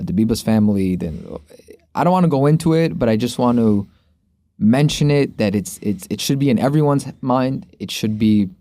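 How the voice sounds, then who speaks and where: A man's voice: lowish voice, mellow, dry recording. A man hosting a casual sports podcast, speaking informally and rambling, often repeating his phrases as he goes. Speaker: podcast host.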